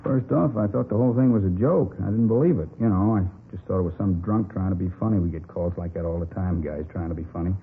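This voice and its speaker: A jittery, streetwise bar owner with a low, gravelly American voice. He talks in defensive, emphatic slang, in old radio drama style on a tinny recording. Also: fast talker, few pauses, slight background noise. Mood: rattled. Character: nervous, streetwise bar owner insisting he's clean. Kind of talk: 1950s radio drama acting.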